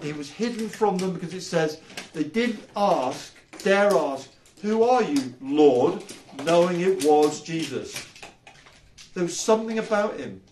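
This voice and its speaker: A man in his fifties, a house church pastor, preaching informally to camera from his living room. He is animated and emphatic and asks many rhetorical questions. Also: on the high side, a little hiss or hum. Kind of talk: informal home sermon to camera. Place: living room by patio doors.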